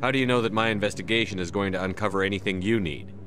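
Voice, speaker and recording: Game role: sceptical male soldier in command, male actor, deep, quick delivery, direct and authoritative. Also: fairly bright tone, soft background music, lightly acted. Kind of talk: video game dialogue acting.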